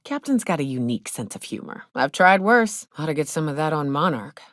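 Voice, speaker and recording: An actress playing a hard-drinking, trigger-happy but loyal frontier mercenary in a video game, with folksy, salty, sardonic phrasing. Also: female voice, deep voice, big pitch swings, brisk, a bit bright, no echo, studio quality. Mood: dry, reflective. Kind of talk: video game character dialogue.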